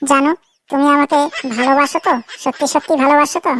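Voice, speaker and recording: Woman acting high-pitched whiny cartoon girlfriend. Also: fairly even intonation, quick pace, a bit bright, a little hiss or hum. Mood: coy, demanding. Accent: Bengali. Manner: coy, pleading, repeats herself. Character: whiny, demanding young girlfriend, coy and easily offended. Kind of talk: comedy cartoon dialogue.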